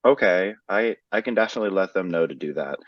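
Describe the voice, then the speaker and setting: A man playing a cooperative patient, low and muffled. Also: animated, fast talker, dry recording. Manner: cooperative, plain, slightly hesitant. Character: man with an infection answering health questions. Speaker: patient being interviewed. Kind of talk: scripted training role-play. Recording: slightly muffled dry recording.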